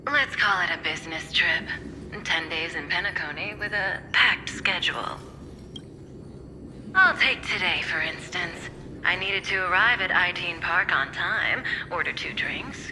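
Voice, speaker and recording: An actress voicing a composed, enigmatic female agent in a video game, calm, polite and quietly playful. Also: lowish voice, measured pace, background noise. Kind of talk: video game story dialogue. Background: music.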